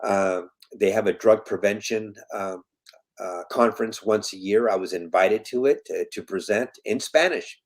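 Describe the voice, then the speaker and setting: A man's voice: lowish voice, moderate pace. A man in his fifties from Colombia, talking as an interview guest and foundation president in earnest, emphatic tones, repeating his key points. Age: 50s. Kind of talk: casual interview talk.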